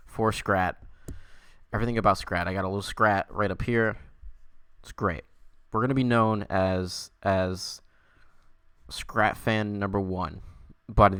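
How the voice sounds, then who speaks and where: A man with a fairly deep voice hosts a casual livestream. The sound is very dry, with hardly any room in it. He rambles along in a self-amused, loosely profane way, chatting to his viewers. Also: a bit bright, dry recording. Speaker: livestream host.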